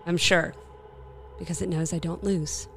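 A woman's voice: fairly low pitch, steady tone, unhurried, creaky, fairly bright tone, soft background music. A woman playing a bold, self-assured paranormal investigator in a radio play. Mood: confident.

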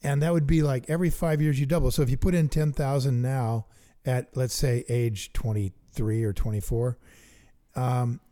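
A middle-aged man co-hosting a chat about investing, eager and thinking out loud as he works sums aloud. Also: mid-range voice, steady tone, normal speed. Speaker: investing podcast co-host. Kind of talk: casual teaching chat.

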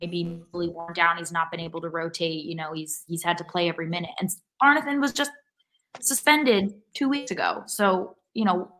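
A woman's voice: lowish voice, normal speed, sharp tone, rough recording. A woman talking casually as a soccer fan on a podcast, in a questioning, frustrated manner. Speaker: podcast co-host, sports fan.

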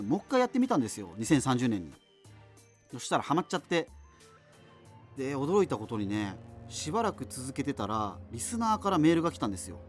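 A man's voice: mid-range voice, close to an everyday voice. A man playing an amateur solo radio host in a doomed future, chatting in a rambling, self-interrupting way in a radio drama. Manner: rambling, self-interrupting, digressive, casual asides. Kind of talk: conversational radio monologue in a scripted radio drama.

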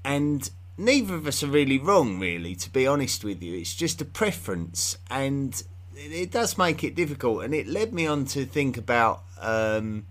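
A man's voice: medium pitch, big pitch swings, moderate pace. Rambling English man hosting a podcast. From England. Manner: rambling, with many fillers and restarts. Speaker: history podcast host. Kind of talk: unscripted podcast monologue.

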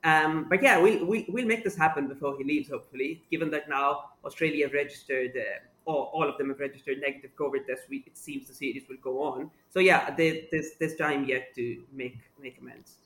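A man's voice: a little high, a little fast, few pauses, a bit bright. A man on a podcast as a guest sports writer, speaking articulately with some restarts and self-deprecating humor. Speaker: cricket sub-editor guest. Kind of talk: casual podcast chat.

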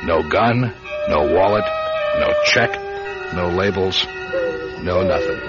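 A man's voice: low-pitched, takes it slowly. An actor playing a world-weary, hard-boiled drifter, telling his misadventure in wry, clipped noir radio drama style. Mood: grim, wry. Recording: dull old radio recording, loud music behind.

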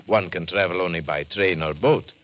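A man's voice: low-pitched, normal speed, a bit bright, dry recording. A man playing a courteous, cautious Panamanian police lieutenant with a Latin American Spanish accent, formal and hesitant, in old radio drama style. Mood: warning. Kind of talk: 1940s radio drama acting.

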